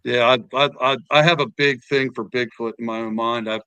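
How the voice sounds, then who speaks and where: A man in his fifties hosts a podcast and tells stories at length, often repeating himself as he gets going. His voice is bright and smooth, with a slightly high pitch and a dry close sound. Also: fairly even intonation, brisk, dry recording. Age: 50s. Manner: talkative storyteller, repeats words. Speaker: podcast host. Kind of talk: casual podcast chat.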